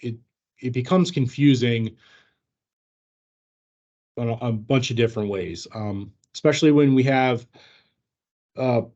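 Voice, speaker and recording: A man leading a staff training on an online call, explaining informally in a halting, hedging way. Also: medium pitch, darkish tone. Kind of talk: informal explanatory presentation on a work video call. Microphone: video call microphone. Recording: dull, lo-fi online meeting audio.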